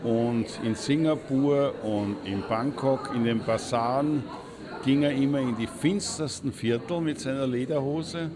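A man's voice: mid-range voice, unhurried, crowd noise. A man telling an anecdote in a casual, conversational way.

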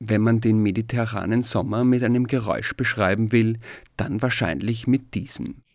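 A man from Austria reads a scripted first-person audio diary as narrator, in a measured, dryly wry way. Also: lowish voice, steady tone, darkish tone, no echo.

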